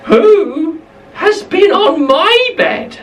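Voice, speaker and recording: A woman voicing a fussy, displeased mother bear in a storybook tale, asking slowly and with emphasis. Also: very high-pitched, big pitch swings, far from the mic, a little hiss or hum, voice pushed up, heavily acted.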